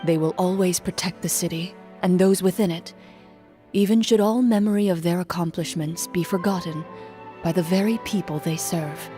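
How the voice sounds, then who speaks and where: An actress as a composed, mellow low-voiced woman. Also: fairly even intonation, moderate pace, soft background music, close to an everyday voice. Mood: solemn. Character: composed, dutiful woman game master, reserved and courteous. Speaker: game character telling a story. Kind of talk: scripted video game dialogue and narration.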